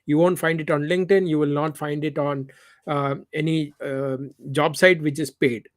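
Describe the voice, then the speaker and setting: Higher-pitched man explaining job hunting. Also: little pitch movement, normal speed. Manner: explanatory, repeats key points. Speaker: meetup organizer. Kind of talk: giving career advice.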